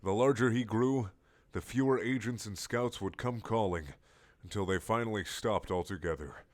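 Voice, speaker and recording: A man narrating comic fiction from a script in a theatrical, measured, storytelling way. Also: fairly low pitch. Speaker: narrator reading a fan story.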